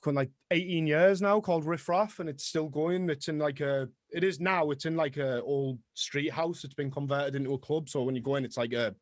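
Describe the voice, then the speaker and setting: A young man from Middlesbrough with a Teesside accent, a music producer chatting as a guest in an interview, rapid, self-mocking and quick to laugh. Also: a little high, dry recording, clean studio sound. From Middlesbrough, England. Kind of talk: casual interview chat.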